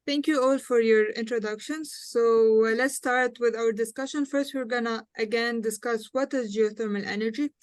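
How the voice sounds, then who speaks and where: A woman's voice: a little high, steady tone, normal speed, few pauses, dry recording, studio quality. A young adult woman with a Lebanese accent, hosting a panel discussion as moderator. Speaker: panel moderator and student engineer.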